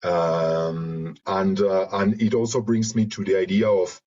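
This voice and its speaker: A man from Argentina with a Spanish accent, reflecting in an interview in halting, searching phrases over a video call. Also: low-pitched, level tone, a little mellow. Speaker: interview guest, creative and therapeutic facilitator. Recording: dull lo-fi video call audio.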